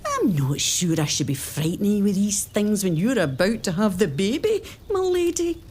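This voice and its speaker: A woman voicing an older, motherly Scottish nursemaid who tells old tales in a hushed, confiding way. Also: medium pitch, sing-song, moderate pace, slight background noise, close to an everyday voice.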